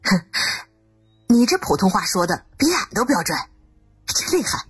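A woman performs a friendly village shopkeeper for an audio drama. Her voice is rough and husky, swinging widely in pitch, with plain colloquial country speech. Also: very animated, normal speed, soft background music. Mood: friendly, amazed. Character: friendly rural woman shopkeeper, folksy and amazed.

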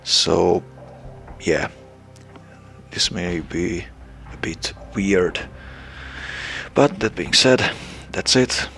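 A young man from Hungary with a Hungarian accent, making a hesitant, casual announcement to his channel's viewers, pausing often with fillers. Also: low voice, expressive, vocal fry, fairly bright tone, soft background music. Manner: hesitant, many filler pauses. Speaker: channel creator.

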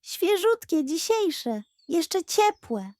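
Woman voicing a high, excitable proud hen. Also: drawn-out words, dry recording, clean studio sound, heavily acted. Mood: proud, excited. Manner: boastful, exclamatory.

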